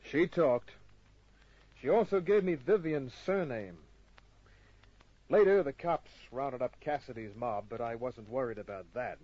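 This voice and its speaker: Man playing a low-voiced, wisecracking hard-boiled private eye. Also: unhurried, no echo, natural voice. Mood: tough, taunting, then dry narration. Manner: dry, world-weary, laconic. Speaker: narrator and lead actor. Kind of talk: old-time radio crime drama acting. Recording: muffled old radio recording with music.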